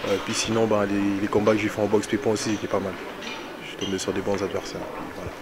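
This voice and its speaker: A man's voice: on the low side, level tone, fast, background noise. A man in his twenties, a heavyweight fighter, answering briefly and casually on a handheld mic. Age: 20s. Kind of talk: group interview. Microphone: handheld mic with windscreen.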